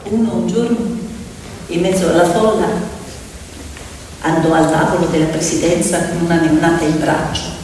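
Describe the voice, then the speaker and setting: A woman's voice: on the low side, distant mic. Echoey woman storyteller. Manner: expressive, dramatic, deliberate phrasing. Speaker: stage storyteller and actress. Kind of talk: theatrical narrative storytelling. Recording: echoey hall from afar, faint noise.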